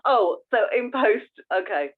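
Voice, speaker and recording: A woman co-hosting a podcast in casual chat, playful and expressive in the way she talks. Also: on the high side, unhurried. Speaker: podcast co-host. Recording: thin phone-like call audio.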